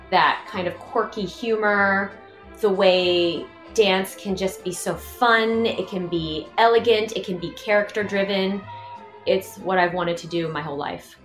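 A woman's voice: fairly low pitch, a bit bright. A woman from the Washington, D.C. area, a performer and director, telling her own story in an effusive, upbeat way. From Washington, D.C. area, USA. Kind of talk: first-person audio portrait narration. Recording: roomy sound with faint music behind.

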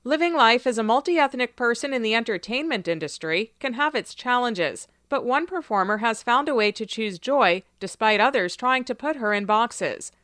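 A woman announcer reading a scripted, measured introduction to a radio feature. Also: fairly high pitch, lively intonation, fairly bright tone, dry recording, studio quality.

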